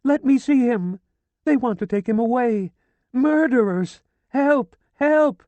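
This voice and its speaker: A frantic older woman shrieks and begs in a very high, piercing voice, put on by a male audiobook narrator. Her cries swoop wildly up and down, the sound dry and close. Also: animated, measured pace, dark tone, no room sound, muffled recording. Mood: hysterical. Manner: shrill, frantic pleading and screaming. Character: hysterical grieving older woman, wailing and shrieking. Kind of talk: audiobook character voice.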